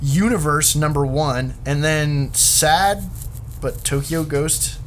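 A man's voice: on the high side, takes it slowly, slight background noise. A man hosting a casual comic book podcast in an informal, enthusiastic manner. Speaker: podcast host.

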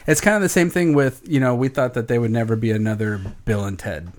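A man co-hosting a casual podcast, sharing news in a halting, hesitant way. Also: medium pitch, slight background noise. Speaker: podcast co-host.

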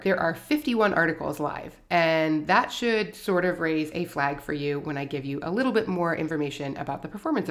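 A woman hosting her own podcast, sounding a little froggy from a cold, talking candidly and briskly about her work. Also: low voice. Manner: brisk and candid, self-deprecating. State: hoarse from a cold. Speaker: podcast host. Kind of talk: solo podcast talk.

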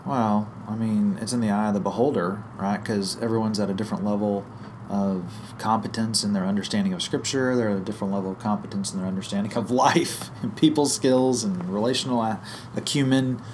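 Guest, male, lower voice, hoarse and croaky from a cold, loose thinking-aloud explainer, faint room sound. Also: steady tone, a little fast, background noise. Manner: thinks aloud with fillers and restarts. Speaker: guest teacher on small group ministry. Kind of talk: conversational podcast explanation.

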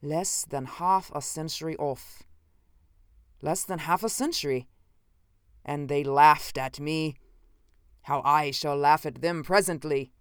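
A man narrator puts on a gaunt, commanding male scientist from another century in an audiobook tale. He speaks with slow, deliberate intensity, cruel and unnerved. The voice is clear and closely recorded. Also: a little high, expressive, no room sound, clean studio sound. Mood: gloating. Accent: odd, unfamiliar.

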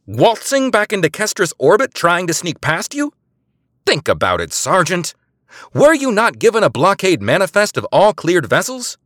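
Man doing a high commanding woman spy, imperious, barking orders, dry close sound. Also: big pitch swings, moderate pace, a bit bright, no room sound. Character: commanding woman spy bluffing over comms.

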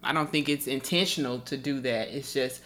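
Bright-toned woman in reflective chat. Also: rumbling low, steady tone, brisk. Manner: reflective, questioning, with restarts. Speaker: podcast co-host. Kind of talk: casual conversation.

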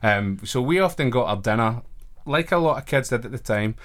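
A man's voice: medium pitch, animated, a little fast, a bit bright. A man in his thirties with a Glaswegian accent, a college chaplain telling his life story as a guest in a radio studio, earnest with wry self-deprecating humor. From Scotland. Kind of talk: conversational interview storytelling.

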